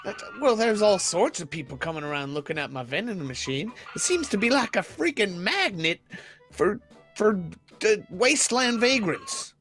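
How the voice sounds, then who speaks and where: A man's voice: sits high, big pitch swings, moderate pace, a bit bright, faint music behind. A man playing a bookish, fussy wasteland hermit in an improvised game roleplay, hesitant and folksy, rambling and repeating himself. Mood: grumbly, earnest.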